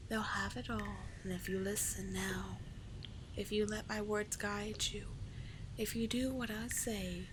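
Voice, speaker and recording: A woman voicing a seductive, manipulative sea witch in a soft breathy whisper, coaxing and tenderly possessive, in an ASMR roleplay style. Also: medium pitch, noisy background, close to an everyday voice.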